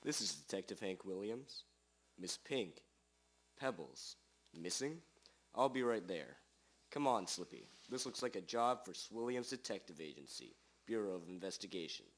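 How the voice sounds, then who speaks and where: A man playing a confident, world-weary famous detective, deadpan and self-important, in a radio drama parody. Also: low-pitched, moderate pace, exaggerated voice. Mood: self-assured.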